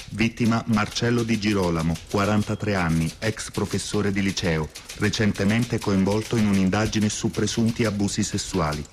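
An actor playing a radio newsreader, delivering a formal, impersonal, clipped crime bulletin. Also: male voice, on the low side, a little hiss or hum, lightly acted. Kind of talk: formal scripted news bulletin.

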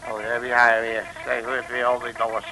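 An actor playing a pompous, grumbling, bumbling detective in a comic radio serial, with theatrical bluster and colourful oaths. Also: male voice, mid-range voice, monotone, fairly quick, noisy background, natural voice.

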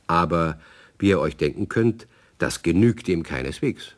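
Deep-voiced male storyteller, expressive. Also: measured pace. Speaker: narrator. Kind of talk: scripted storytelling for children's radio play.

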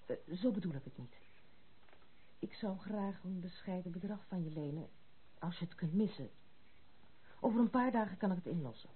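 A woman's voice: on the low side, lively intonation, moderate pace, pauses often, slightly rough. An actress playing a proud, blunt, tomboyish young noblewoman in a Dutch radio play, frank and self-assured. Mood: brisk. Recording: tinny, band-limited, noisy old radio recording.

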